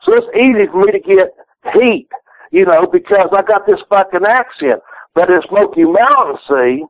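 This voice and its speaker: A man's voice: high voice, animated, normal speed, no room sound. Profane Southern man on a phone line. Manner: rambling, profane, folksy, restarts a lot. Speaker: retired pro wrestler guest. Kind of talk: candid storytelling interview. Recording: tinny phone line, dry.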